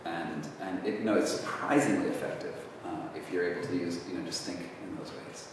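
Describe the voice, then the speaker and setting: Low-voiced man in echoey hall. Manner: halting, many restarts, casual. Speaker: guest speaker answering audience questions. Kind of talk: lecture Q&A. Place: university lecture hall. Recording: echoey hall from far off, faint noise.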